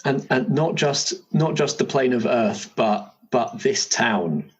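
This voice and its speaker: A man's voice: medium pitch, expressive, slow pace, breathy edge. A man hosting a tabletop character creation session as game master, guiding it with casual questions and explanations.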